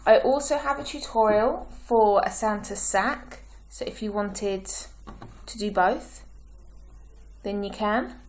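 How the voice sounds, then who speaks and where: A woman with a croaky throat, giving slow, patient step-by-step craft instructions as a tutorial host. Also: mid-range voice, a little mellow, a little hiss or hum. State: croaky, clearing her throat.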